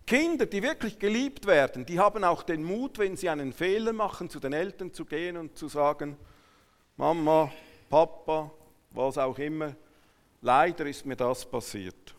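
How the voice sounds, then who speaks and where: A man preaches to a congregation in a high voice with a Swiss German accent. His delivery is emphatic, moving between quiet asides and raised declarations. Long reverberation from the hall surrounds his words. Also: normal speed. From Switzerland. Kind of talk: sermon to a congregation.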